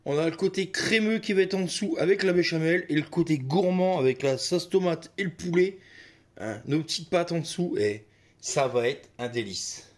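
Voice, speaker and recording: A man's voice: a little high, normal speed. A man with a slight cold hosting a cooking video, talking casually as he works and peppering his speech with little interjections. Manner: chatty, filler-heavy with "hop" and "hein". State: a bit of a cold. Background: kitchen clatter of dishes and utensils.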